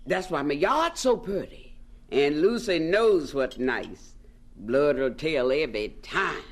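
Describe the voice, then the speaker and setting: An actress playing a bossy, proud older aunt from the American South, folksy and scolding, in a radio drama. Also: female voice, low-pitched, lively intonation, takes it slowly, heavily acted. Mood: proud, fond.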